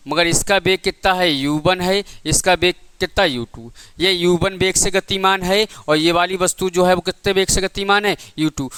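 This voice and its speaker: A man's voice: high voice, sharp tone, clear, resonant tone, no room sound. Male physics tutor, exam coaching, rhetorical questions, clean dry close-mic sound. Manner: asks the class questions and answers them himself; calls listeners "beta" and "bhai". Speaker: physics teacher. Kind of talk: exam-prep physics lesson.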